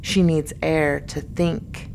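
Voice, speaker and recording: A woman's voice: low-pitched, fairly even intonation, slow, vocal fry, a little mellow, a little hiss or hum, poor audio. A woman narrating a scripted dramatic story as the narrator of an audio drama.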